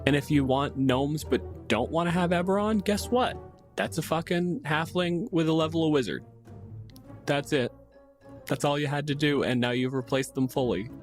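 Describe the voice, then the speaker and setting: A man's voice: fairly high pitch, normal speed, soft background music. A man co-hosting a podcast, chatting casually and bluntly with emphatic opinions and plenty of swearing. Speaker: podcast co-host. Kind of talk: casual opinionated tabletop gaming chat.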